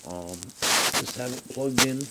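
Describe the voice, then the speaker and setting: A man talking casually and haltingly as he rambles through a hobbyist's gadget troubleshooting demonstration. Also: medium pitch. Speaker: radio hobbyist. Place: small room at home, indoors. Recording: noisy home recording with background hiss.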